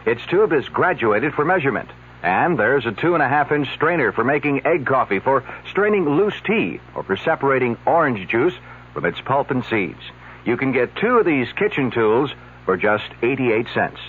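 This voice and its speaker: A man's voice: medium pitch, sing-song, moderate pace, slight background noise. A man reading a radio commercial in a friendly, chatty sales manner. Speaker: announcer. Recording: tinny old radio ad.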